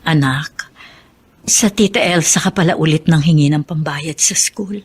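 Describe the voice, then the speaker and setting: A woman's voice: low-pitched, unhurried, husky, faint music behind. A woman playing a long-suffering, outspoken mother and wife in a Filipino radio drama. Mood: weary, cautioning.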